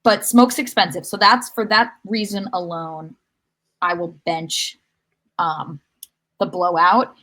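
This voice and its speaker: A woman's voice: on the low side, steady tone, slow, pushed and bright. A woman co-hosting a sports podcast, chatting casually and telling rambling, wry stories. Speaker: podcast co-host.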